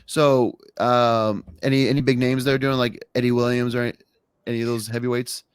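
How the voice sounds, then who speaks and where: A man's voice: mid-range voice, level tone, brisk, clear, resonant tone, no echo. A young man hosting a podcast, talking casually and rambling, often stumbling and restarting his sentences. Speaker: podcast host.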